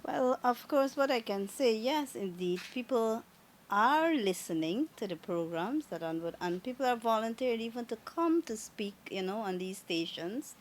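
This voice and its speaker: A woman from Guyana with a Guyanese accent, speaking as a government minister in a radio interview in a measured, formal way. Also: on the high side, lively intonation. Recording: radio studio with faint noise.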